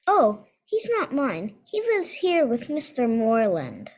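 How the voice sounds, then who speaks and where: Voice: medium pitch, wildly swooping pitch, slow pace, heavily acted. A performer playing an innocent, friendly small boy with a guileless manner in an old-time radio drama. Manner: simple, guileless.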